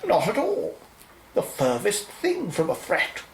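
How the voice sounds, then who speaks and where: Radio play schemer: persuasive businessman, played by a woman, animated intonation, echoing room. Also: fairly low pitch, measured pace, slight background noise, exaggerated voice. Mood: smug, coaxing. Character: smooth-talking, scheming male business partner. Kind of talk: radio drama acting.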